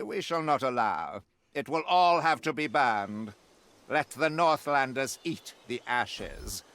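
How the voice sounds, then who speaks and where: An actor playing a zealous, righteous witch-hunting warrior priest in a video game, pompous and grandiloquent, pausing deliberately for effect. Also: on the high side, pushed and bright, exaggerated voice. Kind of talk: theatrical video game mission briefing.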